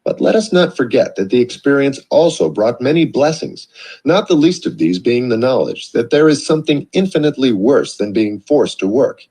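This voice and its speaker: A man reading an audiobook in a measured, earnest narration. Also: mid-range voice, lively intonation, warm tone, breathy edge, poor audio.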